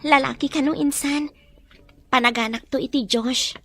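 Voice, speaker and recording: A woman's voice: sits high, fairly even intonation, moderate pace, faint music behind. A woman playing an insistent young mother-to-be who demands marriage, in an Ilocano drama. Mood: matter-of-fact. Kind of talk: Ilocano radio-style drama acting.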